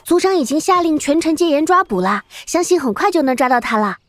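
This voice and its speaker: Casting: young servant maid, high voice, eager to please, anxious, animated fantasy. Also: female voice, quick pace, soft background music. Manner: anxious, deferential. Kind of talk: animation voice acting.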